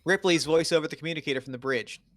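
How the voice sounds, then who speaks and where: A man's voice: a little high, very fast. A man narrating the stage directions of a scripted drama at a table read.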